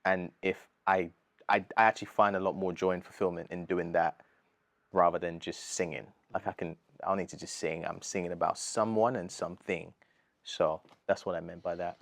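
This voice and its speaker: A man's voice: low-pitched, fairly quick, gravelly, no room sound. A British man of thirty, a gospel artist talking earnestly and casually in an interview, full of slang. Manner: earnest, animated, slangy, says bro a lot.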